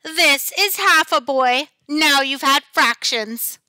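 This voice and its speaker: A woman plays a monstrous green schoolteacher from a storybook. She is high and bright, drawing words out slowly. Her manner is theatrical, with sweet, gleeful menace. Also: no room sound, heavily acted. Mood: smug.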